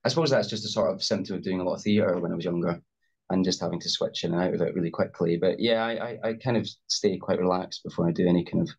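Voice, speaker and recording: A man's voice: deep voice, fast talker, a little mellow, breathy edge. A young Scottish man, an actor guest on a video call, speaking hesitantly with many fillers and restarts. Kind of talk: casual interview over video call. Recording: dull lo-fi video call audio.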